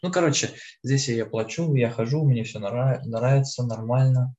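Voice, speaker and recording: A man's voice: mid-range voice, moderate pace, warm tone, muffled recording. An eighteen-year-old man, co-hosting a podcast in a casual, conversational style. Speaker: podcast co-host.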